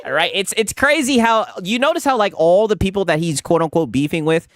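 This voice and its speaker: A man's voice: sits high, animated, fairly quick, fairly bright tone, no room sound, studio quality. Teasing man who laughs a lot. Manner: teasing, laughs often, says "right?" constantly. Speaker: co-host reading chat. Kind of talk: mocking stream banter.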